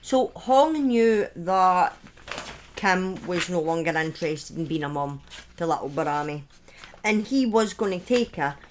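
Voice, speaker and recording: Woman with very lively, swooping intonation. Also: lowish voice, slight background noise. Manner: informal asides, opinionated commentary, halting phrasing. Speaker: true-crime storyteller, streamer. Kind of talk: conversational true-crime storytelling, part scripted.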